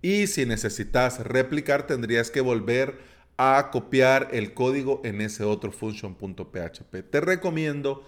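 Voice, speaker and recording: A man's voice: mid-range voice, animated, a little slow. A man hosting a solo tutorial podcast, explaining terms in a chatty, playful way with jokey asides. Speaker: podcast host.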